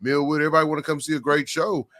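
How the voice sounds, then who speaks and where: A man co-hosting a casual high school basketball podcast, a little hoarse and rambling, repeating words as he goes. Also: level tone, rapid-fire, bright, forceful tone. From Oklahoma. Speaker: podcast co-host. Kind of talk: casual sports chat.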